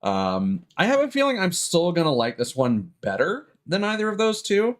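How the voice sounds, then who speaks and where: A man's voice: high voice, huge pitch swings, moderate pace. A man streaming a video game, giving casual commentary in a musing, thinking-aloud way. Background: game music.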